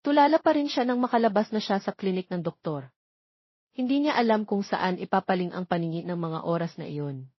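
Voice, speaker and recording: Female storyteller, scripted love story narration, mid-range pitch, close dry recording. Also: expressive, a little fast, no room sound, highs cut off.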